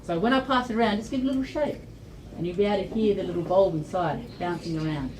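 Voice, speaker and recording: A woman's voice: medium pitch, fast talker, a little mellow. An Australian woman giving an informal educational talk to young children, explaining simply and often putting questions to them. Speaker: storyteller and presenter at a library children's event. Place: indoor library event room. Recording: echoey room, distant mic, children's chatter.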